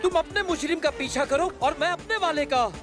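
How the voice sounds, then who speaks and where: An actor playing a curious, adventurous young man raised by monkeys in a Hindi cartoon dub, with an eager, expressive way of talking. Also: very high-pitched, fairly quick. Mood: alarmed, determined. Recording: music behind the voice.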